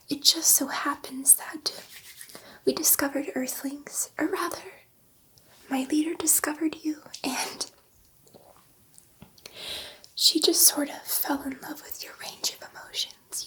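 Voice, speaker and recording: A soft, breathy whisper carries this woman's portrayal of a female alien scientist in an ASMR sci-fi roleplay. She takes her time, with unhurried phrasing and a high, delicate pitch. She is gentle and reassuring, a little nervous and prone to rambling. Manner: hesitant, rambling, reassuring, easily sidetracked.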